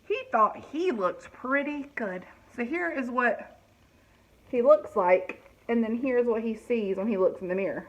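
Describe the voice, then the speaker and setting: A woman reading a picture book aloud to children, pausing to explain the story and ask questions. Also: a little high, lively intonation, rough recording. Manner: explanatory, asks listeners questions.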